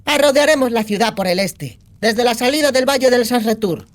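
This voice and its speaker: A woman's voice: on the high side, fairly quick, close to an everyday voice. A brash young outlaw girl played by a woman in a dramatized fantasy audiobook. She talks in slangy, crude bursts with a cynical, sardonic edge. She sounds streetwise and foul-mouthed. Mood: brash, scheming. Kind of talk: acted audiobook dialogue.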